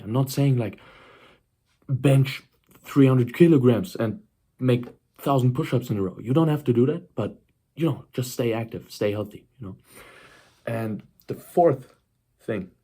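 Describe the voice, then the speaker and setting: A man's voice: on the low side, darkish tone. A nineteen-year-old man giving a casual motivational talk to camera, speaking emphatically, repeating himself and often checking in with the listener. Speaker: self-improvement vlogger.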